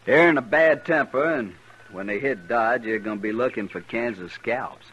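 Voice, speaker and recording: An actor playing a proud, embittered Texan cattle boss in a 1950s western radio drama, with a folksy, plain-spoken manner. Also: male voice, medium pitch, normal speed, a bit bright, close to an everyday voice. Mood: stubborn, worried. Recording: muffled, noisy vintage radio recording.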